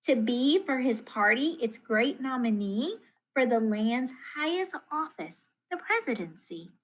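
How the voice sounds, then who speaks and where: A woman reads a rhyming children's storybook aloud with expressive, deliberate, rhythmic phrasing, like a school teacher. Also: fairly high pitch, rough recording. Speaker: school math coach reading aloud.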